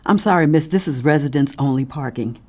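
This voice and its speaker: A woman's voice: deep voice, fairly quick, dark tone. A woman acting in an audio drama as an officious suburban resident, insistent and indignant.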